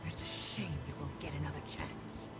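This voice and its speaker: A woman's voice: low-pitched, close to an everyday voice. An actress playing a cruel, monstrous villain woman in a visual novel, with contemptuous, mockingly condescending delivery that breaks into whispers. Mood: taunting. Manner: slow, taunting, drawn-out delivery. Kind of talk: dramatic visual novel voice acting. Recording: loud music over voice.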